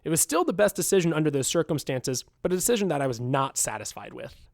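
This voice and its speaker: Man playing an animated, emphatic film director. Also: on the high side, quick pace, vocal fry. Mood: earnest, resigned. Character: animated male film director recalling a failed preview. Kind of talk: acted reading of interview quotes.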